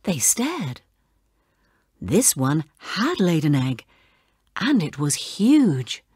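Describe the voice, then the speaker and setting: Female storybook narrator for children: unhurried, very expressive, slightly husky tone. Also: sits low, no echo, clean studio sound.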